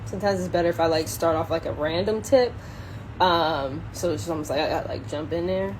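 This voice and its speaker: A woman on a casual solo livestream, halting and thinking aloud as she chats and reads from a book. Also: low-pitched, a little mellow, poor audio. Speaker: social media live streamer. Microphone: phone propped up in a window. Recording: clear background noise, ambient sound.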